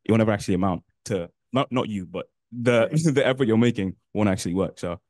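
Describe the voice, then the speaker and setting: Fast-talking young man on a panel. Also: fairly low pitch, darkish tone, no echo, clean studio sound. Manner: casual, lots of "like". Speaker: panelist, alumnus. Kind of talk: panel discussion. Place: university classroom, indoors.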